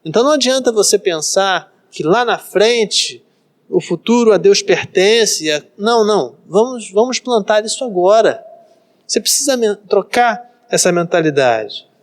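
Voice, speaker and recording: A man in his thirties delivers a sermon from the pulpit, his voice sitting noticeably high for a man. He is from Brazil and preaches in a pastor's cadence, circling back over the same phrases for emphasis. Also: lively intonation, normal speed. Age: 30s.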